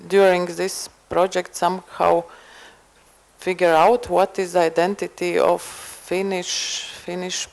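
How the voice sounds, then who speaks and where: Flat, gravelly woman moderating. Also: on the low side, low-quality recording. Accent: Latvian. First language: Latvian. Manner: halting, many fillers and restarts. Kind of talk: panel moderation.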